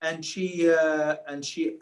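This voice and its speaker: A man's voice: a little high, flat delivery, takes it slowly. A man interviewing a filmmaker, hesitating often and repeating words as he asks his questions. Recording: roomy, off-mic.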